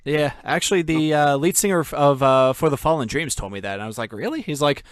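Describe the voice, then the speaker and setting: Easygoing male podcast host from New Jersey, slightly high voice, dry booth sound, loose storyteller. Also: lively intonation, fast talker, dry recording. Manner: laid-back, laughs often, filler-heavy storytelling. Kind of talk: casual interview chat.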